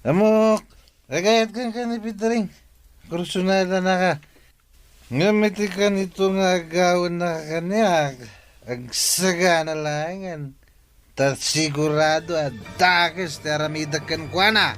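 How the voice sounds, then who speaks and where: Slow-talking drunk young boyfriend. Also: male voice, sits high, fairly even intonation, a bit bright, faint music behind. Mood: jealous. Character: drunk young boyfriend, brash, wheedling and jealous. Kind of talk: radio drama acting.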